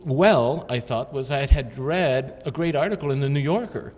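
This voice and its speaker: A man's voice: medium pitch, moderate pace, a little mellow. Older man, hesitant reflective guest. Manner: thoughtful, hesitant, with many fillers. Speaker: guest artist. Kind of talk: casual interview chat. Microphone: handheld mic. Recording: long reverb with faint room noise.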